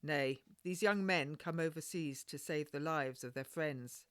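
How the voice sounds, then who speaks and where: A woman's voice: low-pitched, measured pace, clear, resonant tone, no room sound. A woman voicing a stern, proud and pious midwife in a storybook, with a firm, admonishing manner and old-fashioned phrasing. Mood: earnest, indignant.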